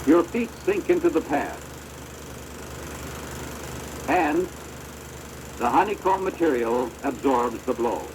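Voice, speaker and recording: An American man giving formal, measured instructional narration for a training film, on a deteriorated old projector soundtrack. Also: on the high side, animated, background noise.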